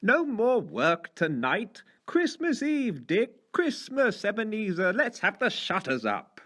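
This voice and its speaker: An actor voicing a jolly, fat old merchant in a storybook reading, calling out in a hearty, jovial boom. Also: male voice, wildly swooping pitch, slow, a bit bright, full character voice. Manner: booming, jovial, hailing.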